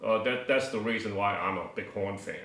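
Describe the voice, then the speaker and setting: Older dude w a lowish voice chatting as cohost, echoey room. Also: male voice, brisk, a bit bright, distant mic. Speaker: co-host of an audio hobby show. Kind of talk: casual hobby discussion. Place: living room table indoors.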